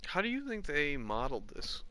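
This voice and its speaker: A man's voice: a little high, wildly swooping pitch, brisk, very noisy. A man hosting a casual video game playthrough, chatting and commenting as he plays. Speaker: podcast host playing a video game.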